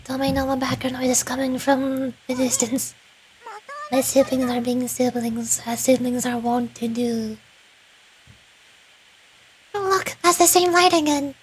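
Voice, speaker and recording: A woman's voice: high-pitched, steady tone, measured pace, pauses often, a little hiss or hum, poor audio. A woman giving playful, casual commentary as she streams a video game. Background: faint music.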